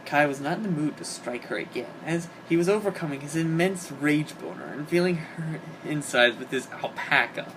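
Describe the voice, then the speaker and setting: A man's voice: on the high side, lively intonation, normal speed, hardly any pauses. A man giving a dramatic reading of comic fan fiction. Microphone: home microphone on an internet call. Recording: hobby voice-call recording, clear background noise.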